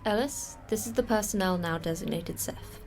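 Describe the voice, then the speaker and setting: A woman's voice: mid-range voice, normal speed, a little mellow, soft background music, natural voice. A woman playing a jaded, terse station manager in an audio drama, dry and matter-of-fact. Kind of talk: audio fiction podcast acting.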